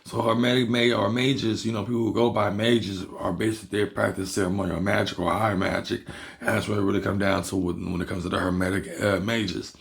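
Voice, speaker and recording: A man from Barbados with a Bajan accent, hoarse from losing his voice, explaining a topic in a rambling, repetitive casual monologue as a video host. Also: fairly low pitch, little pitch movement, fairly quick. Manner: rambling, repeats himself and restarts words. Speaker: hoodoo practitioner and video host.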